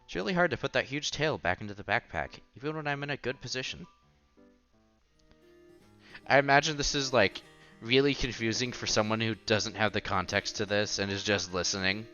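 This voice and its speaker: A man's voice: on the low side, brisk, dull audio. A man reading a visual novel aloud on a headset mic, acting out the dialogue and adding offhand comments in a casual way. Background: soft music.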